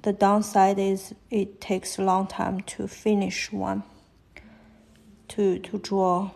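A woman with a Chinese accent, whose first language is Chinese, talks haltingly while she draws fashion illustrations on a live stream. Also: mid-range voice, level tone, gravelly, a little mellow. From China. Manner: halting, thinking aloud while drawing. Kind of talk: live-stream drawing commentary. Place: at a desk, drawing on paper.

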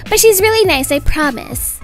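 A young woman narrating an animated roleplay story as a brisk, slightly exasperated mother. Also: very high-pitched, soft background music. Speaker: storyteller voicing a roleplay video.

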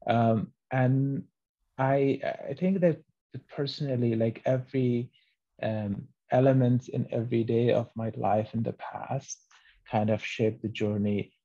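A middle-aged man from Afghanistan, a native Farsi speaker, soft-spoken and halting as he gives reflective interview answers. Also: mid-range voice, fairly even intonation. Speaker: interview guest, children's author. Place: small book-lined room at home. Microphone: video call, computer microphone. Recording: muffled, band-limited video call audio.

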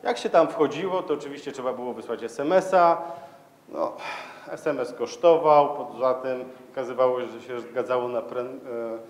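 A man's voice: medium pitch, moderate pace. A man gives an informal, explanatory conference talk in a conversational, somewhat hesitant way, speaking into a handheld microphone in a large lecture hall. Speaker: conference speaker, security manager.

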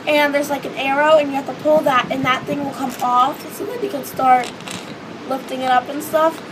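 A teenage girl chatting casually in a vlog on a hotel balcony above the beach, hoarse from losing her voice, rambling with lots of fillers. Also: sits high. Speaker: vlogger. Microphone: phone or webcam close in front. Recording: wind and wave noise outdoors. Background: ocean waves and beach noise.

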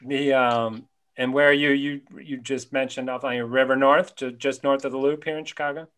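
A man in his forties hosts a casual interview from his home study, speaking over a webcam call. He is friendly and upbeat, and he throws in quick quips. His voice sits at a middling pitch. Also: little pitch movement, fairly bright tone. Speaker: podcast host. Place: home study with bookshelves, indoors. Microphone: webcam on a video call.

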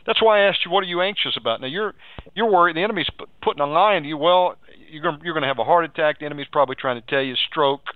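A man's voice: on the high side, racing, dry recording. Exhorting male radio preacher on phone-like audio. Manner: exhorting, repeats phrases, asks leading questions. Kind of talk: live pastoral counselling on a radio show.

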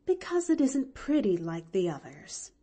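A good-hearted young servant woman from a storybook, played by a woman reader, blunt and given to grumbling. She talks in folksy rustic phrases, with pitch that moves in lively swings. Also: unhurried, gravelly, slightly rough, exaggerated voice. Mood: wistful, grumbling. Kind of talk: expressive audiobook character reading.

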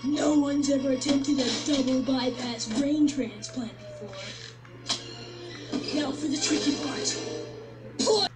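A performer playing a boisterous, cruel young boy in an animated film, theatrical and taunting, with loud music behind. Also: high-pitched, slow pace, pauses often. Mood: gleeful, scheming.

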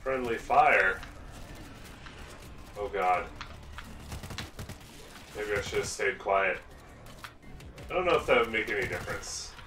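Guy in his 30s yapping through a zombie game on a headset, bright punchy voice, roomy sound, kinda off mic. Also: on the low side, takes it slowly, long pauses, distant mic, a little hiss or hum. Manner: excited, chatty, exclaims often. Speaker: gaming video host. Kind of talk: let's play commentary.

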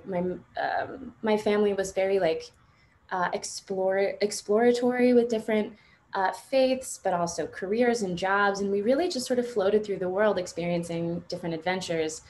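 A woman in her thirties telling her personal story informally on a panel video call, halting and reflective, with frequent filler phrases. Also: mid-range voice, a little mellow. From born and raised in Morocco until seven, then United States. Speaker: panelist sharing personal testimony. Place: indoors at home on a video call. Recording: noisy video-call audio with room ambience.

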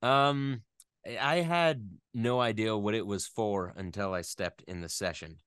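A man's voice: lowish voice, measured pace, fairly bright tone, clear, resonant tone, no room sound, clean studio sound. A man, a voice actor, chatting casually as an interview guest, telling stories in a joking, self-deprecating way.

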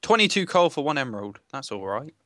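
A man's voice: medium pitch, sing-song, fast talker, sharp tone, no room sound. Young man chatting over a game, dry sound. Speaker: gaming video co-host. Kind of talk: casual gameplay chat.

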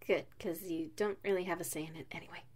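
A woman playing an affectionate, playful wife in an ASMR roleplay, speaking in a soft, intimate manner. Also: lowish voice, steady tone, fast talker, lightly acted. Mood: playful, cuddly. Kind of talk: ASMR roleplay, one side of a dialogue.

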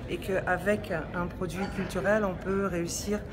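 A woman's voice: lowish voice, moderate pace, over music. A middle-aged woman, an exhibition organizer, talking animatedly with many hesitations into a phone camera held close in a gallery. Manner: animated, enthusiastic, hesitant fillers. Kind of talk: casual interview. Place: gallery room indoors, crowd around. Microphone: phone camera close to face.

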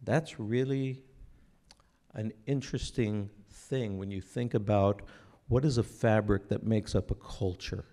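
Deep mellow man speaking from a church stage. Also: steady tone. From Israel. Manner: earnest, unhurried, with repeated restarts. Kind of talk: sermon-like talk to an audience. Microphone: stand microphone.

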